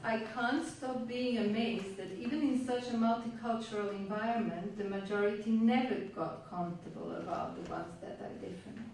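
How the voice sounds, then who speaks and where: A woman from Yugoslavia, a native Hungarian speaker, performing a spoken-word monologue on a venue stage in a measured, reflective way with wry asides. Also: fairly high pitch, a little mellow, slight background noise. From Yugoslavia (Vojvodina). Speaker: stage storyteller. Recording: roomy, distant live hall sound.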